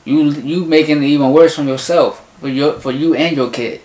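A young man in his twenties gives animated, slangy commentary as he reacts to a rap video from his bedroom. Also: a little high, normal speed. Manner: animated, slangy, talks back to the song. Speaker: music reaction youtuber. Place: small bedroom in front of a closet door. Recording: echoey room.

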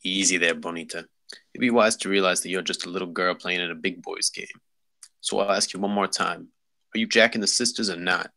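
Man playing sharp-edged, taunting street thug. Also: sits low, fairly even intonation, a little fast, gravelly, exaggerated voice. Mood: cocky, threatening. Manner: condescending, taunting. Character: cocky male street thug leader, mocking and threatening. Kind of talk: script table read.

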